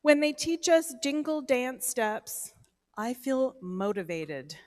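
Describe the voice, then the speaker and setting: A woman reading a picture book aloud to children at a church service, gentle and expressive. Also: high voice, slow. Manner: gentle, expressive storybook delivery. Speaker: children's story reader. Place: church sanctuary, no microphone at first.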